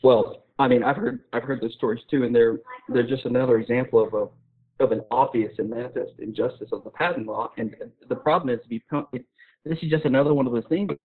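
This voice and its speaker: Male guest expert, husky voice, informal explainer who restarts phrases, over a thin phone-like connection. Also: mid-range voice, steady tone, quick pace. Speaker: guest expert on patent law. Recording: roomy, phone-like call audio.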